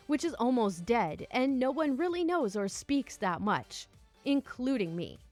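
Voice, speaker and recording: A woman narrating online stories in an animated way, with exasperated, indignant asides. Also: a little high, no room sound. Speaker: storyteller reading online stories. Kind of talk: story narration with commentary.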